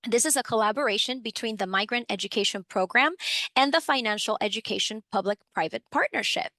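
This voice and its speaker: Upbeat woman from the Dominican Republic hosting a training webinar; fairly high voice, dry computer-mic sound. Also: brisk, sharp tone, no room sound. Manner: friendly, upbeat, with frequent fillers. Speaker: webinar presenter, program supervisor. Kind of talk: educational webinar presentation.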